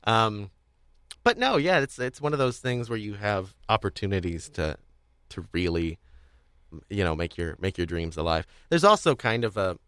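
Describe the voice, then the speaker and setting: A man with a fairly low voice hosts a casual podcast interview. He rambles and halts, doubling back on words as he works out a thought. The recording is very dry, with no room sound. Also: big pitch swings, a bit bright, clear, resonant tone, no echo. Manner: rambling, halting, repeats words mid-thought. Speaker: podcast host. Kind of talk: casual interview chat about audio drama.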